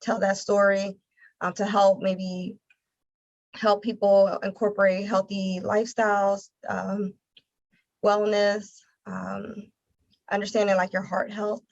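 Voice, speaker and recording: An American woman from Philadelphia speaks as an interview guest. Her pitch sits at an average height and stays fairly even, with halting, repeated words as she thinks. The recording is slightly dull and lo-fi. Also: creaky. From Philadelphia, United States. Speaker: interview guest, nonprofit communications director. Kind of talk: conversational interview answers.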